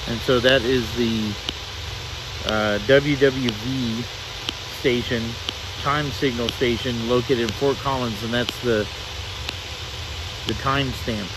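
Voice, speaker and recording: A man's voice: mid-range voice, fairly even intonation, takes it slowly, long pauses, background noise. A man giving a casual hands-on demonstration as a hobbyist, hesitant and thinking aloud as he goes. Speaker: hobbyist reviewer demonstrating a shortwave radio.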